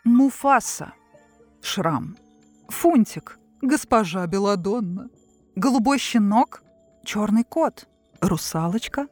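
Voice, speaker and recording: A woman's voice: medium pitch, expressive, takes it slowly, a little mellow. A woman hosting a quiz in a casual, playful chatty style. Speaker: quiz host. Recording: music playing behind.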